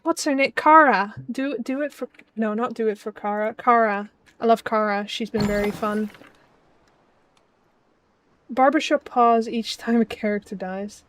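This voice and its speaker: A woman's voice: fairly high pitch, a little slow, fairly bright tone, no room sound. A young woman from the Netherlands with a Dutch accent tinged with British and Scottish sounds, chatting casually with her stream chat while playing, with many ums and restarts. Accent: Dutch with British and Scottish traces. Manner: chatty, frequent ums, reads chat aloud.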